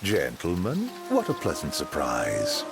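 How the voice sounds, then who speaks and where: An actor playing a smug, scheming imperial schemer with theatrical mock courtesy in a video game. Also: male voice, a bass voice, huge pitch swings, unhurried, vocal fry, heavily acted. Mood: mock-friendly, taunting. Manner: theatrical, teasing, mock-polite. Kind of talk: dramatic video game cutscene acting. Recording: game soundtrack music behind.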